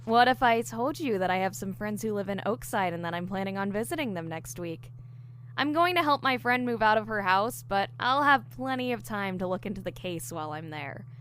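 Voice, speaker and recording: A woman's voice: mid-range voice, expressive, fairly quick, fairly bright tone, a little hiss or hum, natural voice. A woman playing an eager, diligent newly hired investigator in an audio drama, explaining things earnestly. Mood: proud, upbeat. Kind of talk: audio drama dialogue, explaining case theories.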